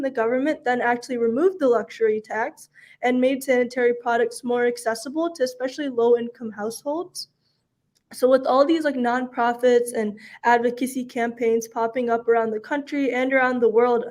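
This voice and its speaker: High-pitched woman hosting an earnest interview. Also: darkish tone. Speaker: host of an online talk on menstrual health. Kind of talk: conversational interviewing.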